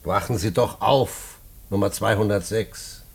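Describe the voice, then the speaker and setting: An actor in a post-war German radio play playing a stern, matter-of-fact prison warder with a measured, official manner. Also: male voice, lowish voice, vocal fry, slight background noise. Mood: stern, flat.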